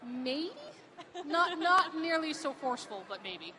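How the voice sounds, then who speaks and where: A woman's voice: high voice, very animated, unhurried, crowd noise. Chatty cosplayer woman from Pittsburgh. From Pittsburgh, USA. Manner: chatty, filler-heavy, enthusiastic. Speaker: cosplayer guest. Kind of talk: casual event interview.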